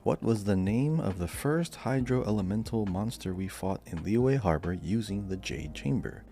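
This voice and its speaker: A man's voice: lowish voice, lively intonation, normal speed, mellow, soft background music. A man hosting a podcast quiz, reading out questions and chatting casually.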